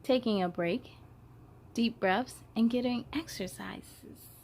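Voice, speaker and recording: A woman's voice: on the high side, slow pace, a little mellow, a little hiss or hum. A woman hosting a children's story time, reading and chatting expressively and speaking to young viewers in an encouraging way. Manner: encouraging, addresses children directly. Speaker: children's storytime host and reader. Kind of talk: expressive read-aloud narration for young children.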